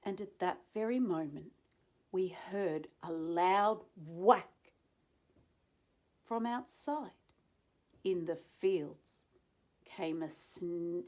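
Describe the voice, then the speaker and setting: A woman reading a children's story aloud expressively, with dramatic pauses and asides to young listeners. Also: fairly low pitch, a little mellow. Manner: dramatic pauses, drawn-out phrasing.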